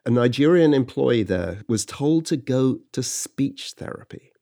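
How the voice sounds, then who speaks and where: A man hosting a podcast, narrating and chatting in a measured, conversational way. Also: mid-range voice, animated, gravelly, mellow. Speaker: podcast host. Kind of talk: scripted narration and chat.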